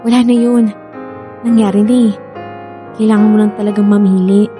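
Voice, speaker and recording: A woman's voice: medium pitch, steady tone, fairly quick, warm tone, faint music behind, close to an everyday voice. An actress playing a remorseful young woman in tears with a Filipino accent in a radio drama. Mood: pleading, devoted. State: crying. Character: tearful, remorseful young woman begging an ex back.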